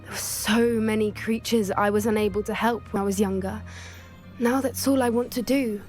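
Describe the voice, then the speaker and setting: An actress playing an earnest young female student who loves magical creatures, speaking in a soft, thoughtful, sometimes hesitant way in video game dialogue. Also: medium pitch, fairly even intonation, moderate pace, soft background music, close to an everyday voice. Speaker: game character.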